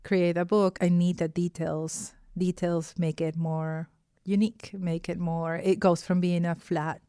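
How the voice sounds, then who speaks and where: A woman's voice: fairly low pitch, little pitch movement, measured pace, mellow, clean tone, dry recording, studio quality. A woman from Peru with a Peruvian accent, speaking as an illustrator in a casual interview, phrasing her explanations carefully with repeats.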